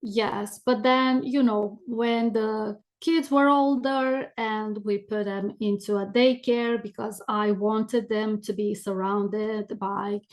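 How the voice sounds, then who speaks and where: A woman's voice: medium pitch, steady tone, takes it slowly, hardly any pauses. A middle-aged woman with a thick Romanian accent, talking conversationally as a podcast guest. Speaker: podcast guest, business coach. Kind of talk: conversational interview storytelling.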